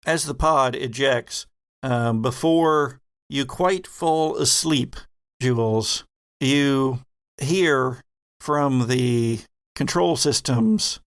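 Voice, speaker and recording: A man runs a tabletop horror game, narrating at a slow pace with deliberate, suspenseful pauses. His voice sits at a middle pitch and is recorded dry and close. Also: dry recording. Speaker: game master.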